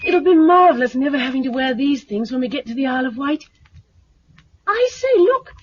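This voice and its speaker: A muffled 1950s radio recording of an eager young British girl. She chatters in a high, swooping voice with a husky edge. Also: animated, fairly quick, slight background noise. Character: eager, bright-hearted young girl, an adopted daughter.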